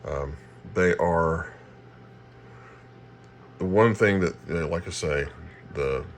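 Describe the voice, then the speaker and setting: A very low-voiced man talks through a casual equipment demonstration. He speaks haltingly, thinking aloud as he goes, with clear static and hiss behind him. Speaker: radio hobbyist demonstrating equipment. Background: shortwave radio noise.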